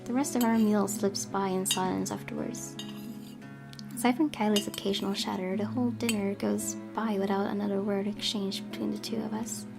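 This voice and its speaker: A woman's voice: medium pitch, steady tone, moderate pace, vocal fry. A woman hosting a let's play, reading a visual novel aloud and adding casual commentary. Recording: game music behind the voice.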